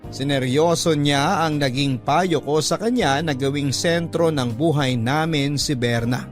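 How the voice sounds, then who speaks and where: A man's voice: a little high, measured pace, lightly acted. A man narrating a sentimental radio love story as a devoted, protective younger sibling, earnest and reflective. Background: music under the voice.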